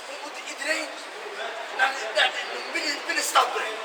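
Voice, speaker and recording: A man's voice: very high voice, fairly even intonation, fast, a loud crowd. A man, a passerby who talks insistently and repeats himself. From London. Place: outdoors on a city street. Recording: distant voice in loud street noise.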